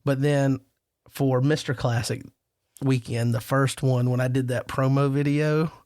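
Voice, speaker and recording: A man's voice: mid-range voice, flat delivery, unhurried, darkish tone, no room sound, clean studio sound. A man hosting a casual podcast roundtable, telling stories in a rambling, self-deprecating way. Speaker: podcast host.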